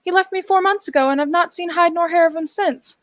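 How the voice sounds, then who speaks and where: A woman's voice: very high-pitched, fairly quick, a bit bright, no echo. A woman playing an anxious, humble village mother in a radio drama, plain-spoken, deferential and pleading.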